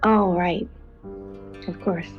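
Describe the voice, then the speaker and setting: An actress playing a polite, tentative co-host of a spooky radio show, chatting in an understated, natural way. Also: female voice, on the low side, fairly even intonation, takes it slowly. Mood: hesitant, curious. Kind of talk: conversational late-night radio banter. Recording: dull lo-fi radio sound over music. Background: background music.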